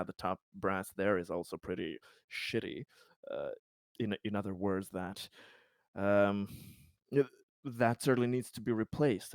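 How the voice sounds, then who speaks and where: A man playing a tabletop roleplaying game, chatting casually in a halting, jokey way, often chuckling. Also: on the low side, smooth, no room sound, studio quality. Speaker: tabletop role-playing player.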